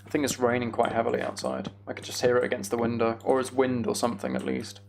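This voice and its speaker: A man's voice: medium pitch, completely flat delivery, fast, creaky, a little hiss or hum. A man streaming a video game, chatting casually and thinking aloud in a rambling way.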